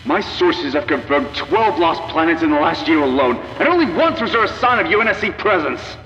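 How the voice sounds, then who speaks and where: A forceful, echoing political orator. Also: male voice, fairly high pitch, animated, fairly quick, soft background music. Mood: indignant, accusing. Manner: forceful, rhetorical. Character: indignant, accusatory colonial representative giving a speech. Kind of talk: political oratory in audio drama. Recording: echoey hall, dull broadcast sound.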